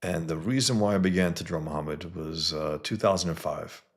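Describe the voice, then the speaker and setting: A cartoonist from the Bronx, talking casually to followers on a livestream, with many fillers and restarts. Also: male voice, low-pitched, a little fast, breathy edge. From the Bronx, New York. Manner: greets viewers mid-talk.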